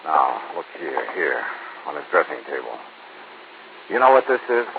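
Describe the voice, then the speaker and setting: Male, American, tough radio detective; low, gravelly, clipped and probing; tinny vintage broadcast. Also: very animated, moderate pace, full character voice. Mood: firm, grim. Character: hard-boiled, shrewd male insurance investigator. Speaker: lead actor in a detective serial. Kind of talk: 1950s American radio drama acting. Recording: tinny, hissy old radio recording.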